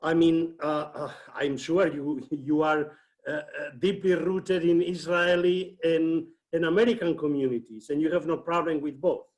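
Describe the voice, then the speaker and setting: A man from Spain speaks at a fairly high pitch over a video call. He builds his argument slowly, with frequent hesitations and self-corrections. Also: steady tone, a little mellow. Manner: thoughtful, with many hesitations and restarts. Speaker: seminar participant asking a question.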